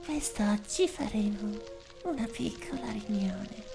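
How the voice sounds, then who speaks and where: A performer with a high-pitched put-on voice as a creepy, gentle, childlike cartoon creature, thin, whispery and overly polite. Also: slow, over music. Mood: polite, eerie. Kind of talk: fan cartoon dub, slow eerie monologue.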